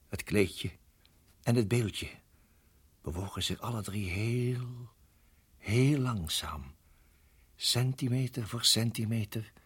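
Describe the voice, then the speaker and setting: A protective husband in a radio drama, with a low and very husky voice. He is tense and measured, building suspense and often sinking to a hush. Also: full character voice. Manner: measured suspenseful storytelling, dropping to hushed tones. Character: Bob, husband recounting a deadly snake ordeal, protective, tense. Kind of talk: radio play acting, dramatic first-person narration.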